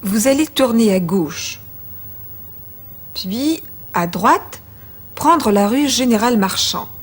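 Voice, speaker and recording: A woman presenting a language course, reading phrases slowly and clearly as models to repeat. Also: on the high side, animated. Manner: clear, deliberate model pronunciation. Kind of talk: slow scripted teaching narration.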